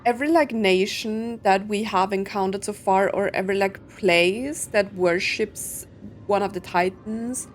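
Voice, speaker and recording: A woman's voice: medium pitch, measured pace, slight background noise. A woman giving casual game commentary, thinking aloud in a puzzled way with lots of filler words. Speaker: streamer playing a story game.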